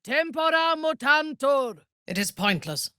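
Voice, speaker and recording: An actress playing an imperious, ruthless dark elf warrior priestess in a video game, with a haughty, commanding manner and formal, measured phrasing. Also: female voice, high voice, animated, no room sound, clean studio sound, heavily acted. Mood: fierce, commanding. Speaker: video game companion character. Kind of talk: dramatic video game voice acting.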